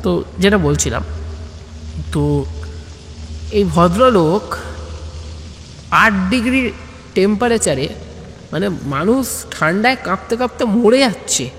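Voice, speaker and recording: Male storyteller, high register, chatty and digressive, reverberant hall sound. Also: animated, unhurried, long pauses, a bit bright. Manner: chatty, digressive, addresses listeners directly. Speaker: storyteller and narrator. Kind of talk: informal first-person comic storytelling, audio story. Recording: long hall reverb, faint background noise.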